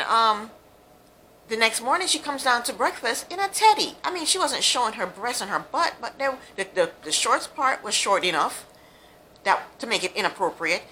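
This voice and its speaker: A woman's voice: on the high side, pushed and bright, slight background noise, low-quality recording. A woman from Jamaica giving a chatty, frank, opinionated recap of a reality show, with plenty of ums and asides. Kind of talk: casual monologue, opinionated recap.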